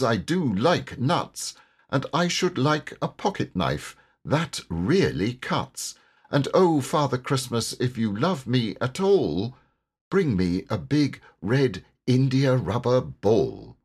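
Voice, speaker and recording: A man's voice: medium pitch, big pitch swings, takes it slowly, slightly rough, heavily acted. A man voicing a lonely, hopeful, petulant storybook king with a plaintive, emphatic, childlike way of wishing. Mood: hopeful, pleading.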